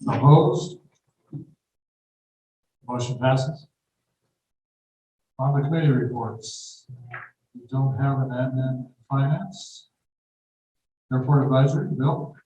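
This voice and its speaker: A man chairing a city council meeting, calling votes and reading agenda items in a brisk, procedural way. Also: medium pitch, mellow. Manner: brisk procedural, quickens when explaining. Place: council auditorium. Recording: echoey hall from far off, faint noise.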